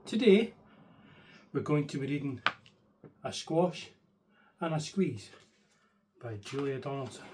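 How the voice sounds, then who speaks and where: A man reading a children's bedtime story in an expressive storybook narration style. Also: medium pitch, takes it slowly, pauses often, background noise.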